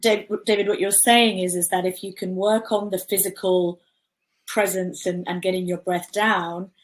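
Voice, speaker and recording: A woman's voice: fairly low pitch, flat delivery, moderate pace. A woman hosting an online session. She backs up her guest and sums up his points. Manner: affirming, summarizes the guest's points. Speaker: host. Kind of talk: webinar interview.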